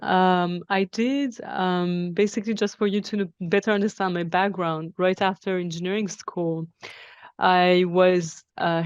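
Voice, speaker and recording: A woman's voice: medium pitch, clean tone, dry recording, highs cut off. A woman in her forties from Iran and France, answering interview questions conversationally and reflectively, rephrasing as she explains. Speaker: interview guest, technology professional.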